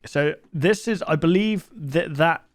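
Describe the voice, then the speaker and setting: A man's voice: a little high, measured pace, dry recording, studio quality. A man giving casual reaction commentary as a video host, thinking aloud in a puzzled, questioning, rambling way.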